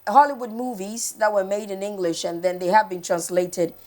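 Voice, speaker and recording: A woman with a Ghanaian accent hosting a casual, chatty TV interview, informal and animated, switching between English, Pidgin and a local language. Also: medium pitch, normal speed. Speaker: TV morning show host.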